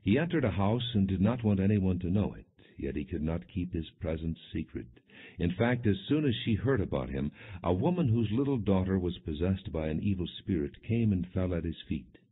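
A man reading scripture as an audiobook narrator in a measured, solemn, clearly articulated way. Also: sits low, expressive. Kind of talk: scripted narration, non-dramatized Bible reading. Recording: muffled, narrow-band lo-fi audio.

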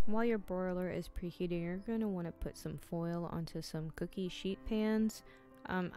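Woman, 30s, home-cooking vlogger, lower voice, casual step-by-step instructions over faint music. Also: a little mellow. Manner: chatty, instructive, says "you're gonna wanna" a lot. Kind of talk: casual recipe walkthrough.

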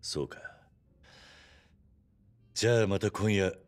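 A man playing a stoic, awkward but caring young yakuza in a Japanese game drama, speaking in a terse, gruff, hesitant way. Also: lowish voice, slow pace. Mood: calm, matter-of-fact.

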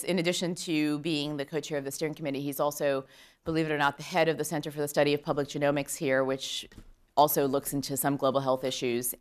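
A woman in her thirties speaks into a podium microphone in a conference room full of attendees. Her voice sits somewhat low, and she lectures in an earnest, measured way, filling pauses with ums as she welcomes the audience. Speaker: law professor opening a conference.

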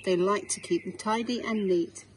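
A middle-aged woman with an English accent reads a children's storybook aloud in a measured, clearly phrased way. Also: mid-range voice, darkish tone. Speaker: head teacher reading a picture book. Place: outdoors in a small garden. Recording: outdoor background noise.

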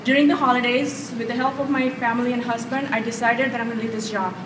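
A woman's voice: a little high, level tone, quick pace, noisy background. A woman earnestly telling an audience about leaving her job, speaking on a handheld microphone. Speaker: health coach student. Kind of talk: audience testimonial. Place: large hotel conference room. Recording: echoey room from far off.

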